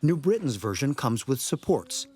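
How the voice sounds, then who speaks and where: News reporter with lively, swinging intonation. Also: medium pitch, moderate pace. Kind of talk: scripted news narration.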